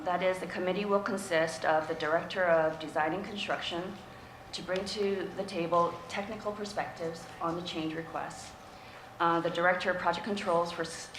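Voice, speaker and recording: Female staff presenter, raspy and sick-sounding, measured formal delivery with hesitations, noisy reverberant room recording. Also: lowish voice. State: coming down with a cold, throat-clearing. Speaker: staff presenter at a public board meeting. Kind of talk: formal briefing to a board. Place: indoor board meeting room. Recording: echoey meeting room with clear background noise.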